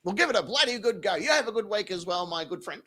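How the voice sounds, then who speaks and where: Rowdy high-pitched male host. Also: expressive, fast talker, bright, forceful tone, slight background noise. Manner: boisterous, profane, laughs often. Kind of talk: crude comedic banter and showy introductions. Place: studio.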